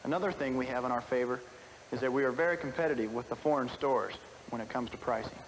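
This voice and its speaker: A man's voice: medium pitch, fast talker, long reverb tail, a little hiss or hum, poor audio. A man speaking as a salesman, giving a matter-of-fact scripted testimonial on a 1970s film soundtrack.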